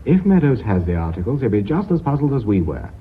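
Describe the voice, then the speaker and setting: A man acting in a radio drama as a brisk, decisive businessman in charge of an embattled firm. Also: mid-range voice, sing-song, close to an everyday voice. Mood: scheming, brisk. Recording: muffled lo-fi radio recording, faint hiss.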